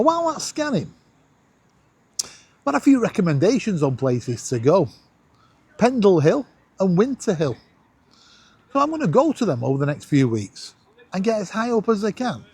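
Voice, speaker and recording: A middle-aged man with a husky voice, chatting casually and excitably as he hosts a vlog. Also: sits high. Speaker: vlog host. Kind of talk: casual chat to camera while testing a radio.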